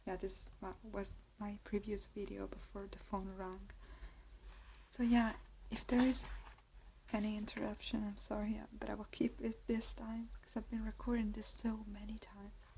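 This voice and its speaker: Young woman, Italian accent, soft hesitant ASMR whisper, unhurried delivery. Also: medium pitch, slight background noise, rough recording. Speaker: ASMR creator.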